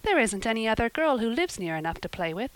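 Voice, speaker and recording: A woman's voice: on the high side, sing-song, quick pace, clear, resonant tone, no echo, poor audio. A woman voicing a frank, cheerful young girl who speaks plainly.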